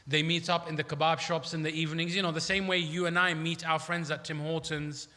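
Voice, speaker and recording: Bright-voiced male preacher in an echoey hall. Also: a little high, level tone, a little fast. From Iraq. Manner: conversational, emphatic, uses rhetorical questions. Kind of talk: sermon. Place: large hall at an Islamic centre. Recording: echoey hall with long reverb.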